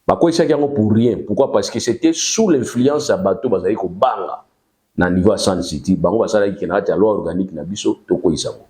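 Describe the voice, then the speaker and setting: A man's voice: lowish voice, brisk, darkish tone. A Congolese man with a Congolese accent, a guest on a political talk show, explaining at length and mixing French and Lingala. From Congo-Kinshasa.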